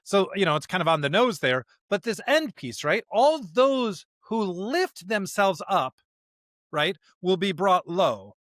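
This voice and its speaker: Higher-pitched male podcast host, dry close sound. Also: very animated, a little slow, fairly bright tone, no room sound. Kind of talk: conversational Bible discussion and scripture reading.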